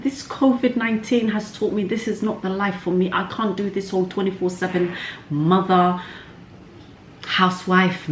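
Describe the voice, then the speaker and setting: A woman chatting casually to viewers in a candid, cheeky, slangy way, like a vlog host. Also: fairly low pitch. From Somalia. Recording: echoey room, faint background noise.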